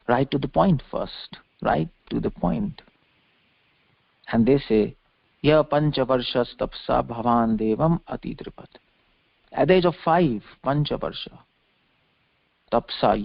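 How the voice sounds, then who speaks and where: Man, religious lecturer, fry-laden voice, didactic repetition, dry muffled lo-fi conference-call sound. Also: medium pitch, a little slow, long pauses, no echo. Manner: didactic, repeats phrases for emphasis. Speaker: religious preacher giving a lecture. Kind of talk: extemporaneous scripture lecture with verse explanation.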